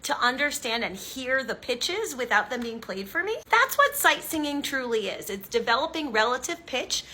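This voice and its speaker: A woman with a high, bright voice teaches an online music lesson. She walks through each step patiently and keeps encouraging the viewer. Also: big pitch swings, normal speed. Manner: encouraging, explains step by step, sings examples.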